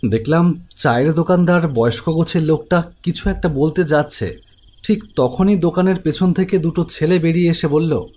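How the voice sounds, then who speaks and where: A young man voicing a protective elder brother who also narrates the animated ghost story, brisk, teasing and reassuring. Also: on the high side, natural voice. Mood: narrating.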